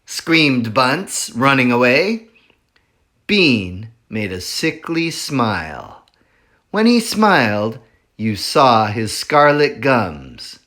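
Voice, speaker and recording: Man reading a storybook to kids, bright and lively, slow sing-song delivery. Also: mid-range voice. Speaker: reader at a school library. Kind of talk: children's chapter book read-aloud.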